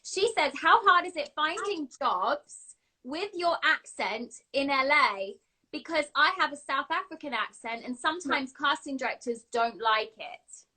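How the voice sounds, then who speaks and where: A woman's voice: high-pitched, expressive, measured pace, pushed and bright, low-quality recording. A woman hosting a live online interview, effusive and encouraging, often turning to address viewers. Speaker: host.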